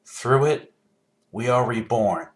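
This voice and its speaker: An actor playing a grand, otherworldly male zealot in a video game, speaking in a solemn, measured, declarative manner. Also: mid-range voice, natural voice. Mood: solemn, reverent. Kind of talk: video game character dialogue.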